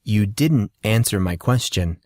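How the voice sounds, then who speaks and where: A man's voice: on the low side, lively intonation, unhurried, darkish tone, no echo, studio quality. A man voicing a skeptical fourteen-year-old boy in an audiobook, blunt, questioning and easily exasperated.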